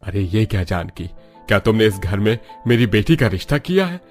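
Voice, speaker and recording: A man's voice: lowish voice, very animated, brisk, darkish tone, faint music behind. A man voicing a middle-aged father and husband in a cartoon, concerned, questioning and reproachful.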